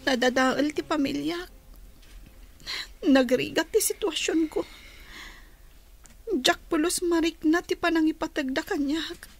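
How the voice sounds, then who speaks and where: An actress playing a distressed wife lamenting hardship in a radio drama, with music underneath. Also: female voice, high voice, measured pace, pauses often. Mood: distressed, pleading.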